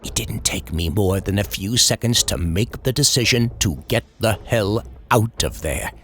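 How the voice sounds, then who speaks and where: A man's voice: fairly low pitch, expressive, unhurried, fairly bright tone. A man reading a scripted first-person scary story as a storyteller, in a dramatic, suspenseful manner.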